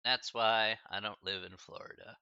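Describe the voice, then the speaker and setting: A man's voice: on the low side, steady tone, moderate pace, vocal fry, sharp tone, smooth, dry recording, muffled recording. A man teaching a live-streamed math class in a casual, chatty way, full of fillers and slang asides. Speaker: math instructor.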